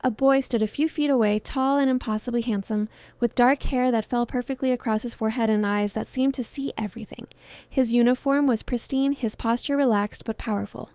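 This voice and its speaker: A woman's voice: fairly high pitch, steady tone, fairly quick, a little mellow, smooth, no room sound. A woman narrating a scripted dramatic story.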